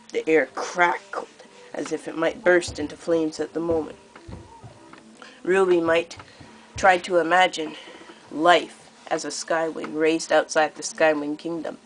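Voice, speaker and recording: A teenage girl reading a story aloud as an amateur narrator, expressive but a little halting. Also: low voice, poor audio. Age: teens. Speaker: amateur audiobook reader. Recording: noticeable background noise.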